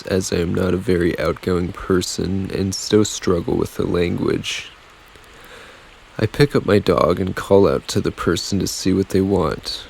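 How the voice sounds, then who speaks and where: A man narrates a scary story in a very low, gravelly voice. His delivery is even and measured. A faint hiss sits under the recording. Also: rough recording. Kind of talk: reading a scary story aloud.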